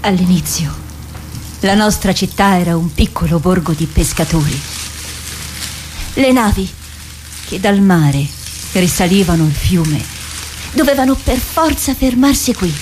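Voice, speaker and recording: An actress playing a proud, impassioned citizen recounting her city's history in a deliberate, solemn theatrical monologue. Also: fairly low pitch, lively intonation, darkish tone, slight background noise. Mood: solemn, evocative. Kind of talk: theatrical monologue in radio drama. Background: music.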